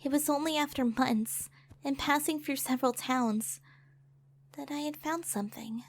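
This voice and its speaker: A woman's voice: high voice, normal speed. A woman playing a traumatized, fearful young bard who tells her story in an audio drama. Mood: wistful. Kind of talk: audio drama narration with emotional acting. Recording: music under the voice.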